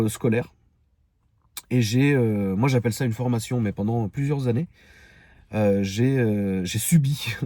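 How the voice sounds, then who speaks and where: A man's voice: medium pitch, warm tone, dry recording, studio quality. A man in his thirties with a Parisian French accent, rambling frankly and casually in slang to his online followers. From Paris, France. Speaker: online video creator. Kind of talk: casual personal storytelling to followers.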